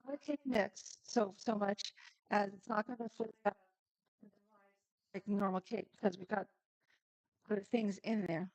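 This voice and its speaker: A woman's voice: mid-range voice, steady tone, a little slow, pauses often, darkish tone, no room sound, poor audio. A woman hosting a casual live-stream cooking demo, chatting straight to her viewers and laughing easily.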